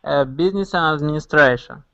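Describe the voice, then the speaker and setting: A young man with a Moldovan accent, a student giving short, halting answers in an English lesson. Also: fairly high pitch, sharp tone. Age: young adult. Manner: halting, terse. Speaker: university student learning English. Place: online group call. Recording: dull online call audio.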